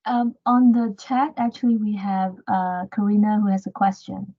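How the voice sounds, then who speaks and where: Webinar moderator, a woman with a mid-range voice, measured, muffled lo-fi audio. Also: mid-range voice, level tone. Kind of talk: moderating questions. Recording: muffled lo-fi video call audio.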